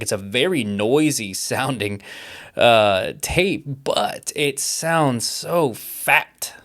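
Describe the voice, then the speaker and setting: A man's voice: medium pitch, a bit bright. A young man in a casual vlog, thinking aloud with plenty of fillers as he tests music mixes, keen and talkative. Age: young adult. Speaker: music producer testing cassette tape.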